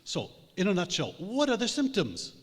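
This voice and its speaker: An actor playing an earnest, declaiming doctor in an old-time radio spoof, in an echoey hall with music behind. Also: male voice, sits high, huge pitch swings, moderate pace, slightly rough, heavily acted.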